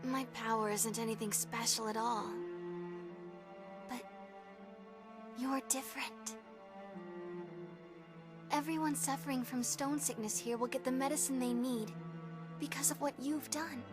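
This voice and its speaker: A woman's voice: high-pitched, steady tone, measured pace, pauses often. An actress playing a tender, anguished young female companion in a dramatic video game scene. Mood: tender, consoling. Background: music.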